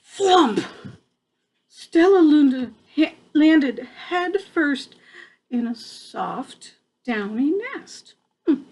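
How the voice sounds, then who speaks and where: An older woman reading a picture book aloud to a child, expressive, with casual asides and exclamations. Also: sits high, breathy edge, poor audio.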